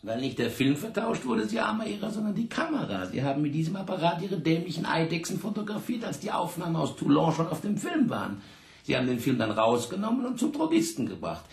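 An actor in a radio drama playing a sardonic, bullying middle-aged French police investigator, condescending and theatrical. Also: male voice, on the high side, very animated, quick pace, vocal fry, echoey room. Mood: scornful. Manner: condescending, theatrical, heaving between scorn and mock courtesy. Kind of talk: German radio drama acting.

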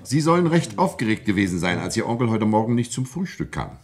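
An actor playing a stern, insistent coroner who questions a witness formally and deliberately in a vintage German radio crime drama. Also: male voice, fairly low pitch, sing-song. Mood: probing.

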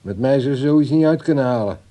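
Actor as Arnold, very dark-voiced, disapproving man. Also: mid-range voice, fast, close to an everyday voice. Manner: terse, disapproving. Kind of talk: radio drama dialogue.

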